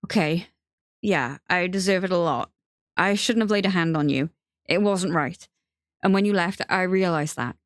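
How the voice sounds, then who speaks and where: A woman voicing a frightened, remorseful young man in love, pleading in a wavering, halting way in an audiobook. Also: on the low side, darkish tone, no echo, studio quality, close to an everyday voice. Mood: desperate pleading.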